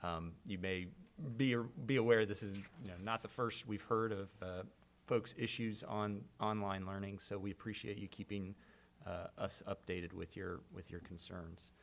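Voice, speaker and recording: Low-voiced man chairing a board meeting. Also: moderate pace, gravelly. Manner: relaxed, rambling procedural talk with fillers. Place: school board meeting room.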